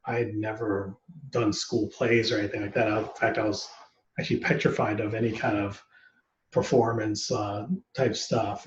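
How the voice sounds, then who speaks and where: A man's voice: fairly low pitch, slight background noise. Male actor guest, 40s, loose rambly talker with constant fillers; roomy, muffled sound. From United States. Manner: relaxed, rambling, many "you know" and "um" fillers. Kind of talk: casual interview chat.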